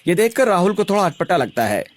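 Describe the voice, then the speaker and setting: A man's voice: high-pitched, big pitch swings, quick pace, slight background noise. A man reading scripted narration for a cartoon story.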